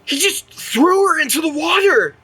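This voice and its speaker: A performer playing an excitable, alarmed teenager with a husky voice in a horror audio drama. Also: high voice, moderate pace, soft background music, low-quality recording. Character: excitable, alarmed youngster named Tom.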